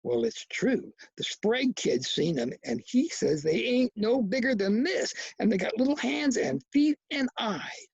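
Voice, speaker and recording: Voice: mid-range voice, animated, a little slow, creaky, breathy edge, no room sound, highs cut off, voice pushed up, exaggerated voice. A reader voicing a timid, earnest young boy from a novel, talking in a plain, guarded, slangy way.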